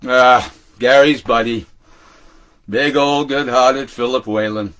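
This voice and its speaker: An older man performing a theatrical monologue like a beat storyteller, animated and halting, with drawn-out words. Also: mid-range voice. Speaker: solo performer.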